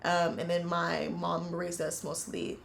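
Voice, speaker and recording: Young woman with a low, gravelly voice. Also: monotone, a little hiss or hum. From United States. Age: 20s. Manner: earnest, reflective, halting with frequent fillers. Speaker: interviewee, university student. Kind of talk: casual personal storytelling.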